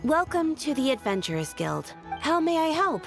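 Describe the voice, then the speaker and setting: Game receptionist machine: courteous, formulaic, high lilting voice over clear music. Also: female voice, big pitch swings, moderate pace, heavily acted. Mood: welcoming. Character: courteous female receptionist automaton, serviceable and formulaic. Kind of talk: scripted game greeting. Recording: music behind the voice.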